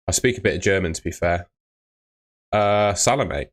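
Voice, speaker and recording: Young male streamer, low voice, relaxed and chatty, reading viewer comments. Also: moderate pace. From Bristol, England. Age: young adult. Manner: relaxed, chatty, answers chat questions. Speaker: fantasy football streamer. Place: small room indoors.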